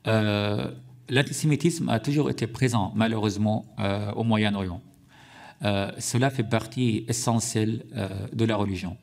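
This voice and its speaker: Man, low gravelly voice, halting committee testimony. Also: darkish tone. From Syria. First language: Arabic. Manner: hesitant, many fillers and restarts. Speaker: witness at a parliamentary hearing. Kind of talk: formal testimony. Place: parliamentary committee room.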